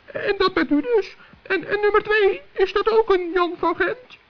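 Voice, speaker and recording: A performer playing a small, polite, anxious male forest gnome in a children's radio play, with a squeaky, hesitant voice. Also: measured pace. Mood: polite, puzzled. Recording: dull old radio play recording.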